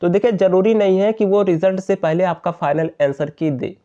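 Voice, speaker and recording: A man's voice: sits high, brisk, warm tone. A man explains updates to viewers directly and informally, repeating his points, in a muffled lo-fi voice-over. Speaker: exam update channel host.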